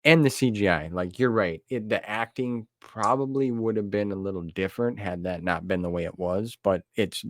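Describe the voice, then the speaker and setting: Middle-aged American male host with a deep voice, plain-spoken and conversational, recorded very dry. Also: moderate pace, hardly any pauses, a bit bright, no room sound. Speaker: podcast host. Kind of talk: casual chat.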